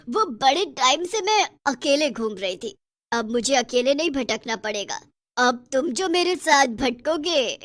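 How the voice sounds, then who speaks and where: Cartoon horror witch, played by a woman: high, gloating, bossy, taunting cackler, dry close sound. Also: a bit bright, no room sound, exaggerated voice. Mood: pleased, teasing. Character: gloating, bossy, selfish female witch who kills people. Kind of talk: Hindi horror cartoon story acting.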